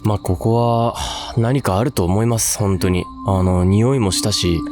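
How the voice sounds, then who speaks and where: A man's voice: lowish voice, fairly even intonation, moderate pace, music in the background. A man hosting a paranormal investigation on location, chatting casually and reacting to the place. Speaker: paranormal investigator and host in a video duo. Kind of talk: casual on-location ghost-hunting chat.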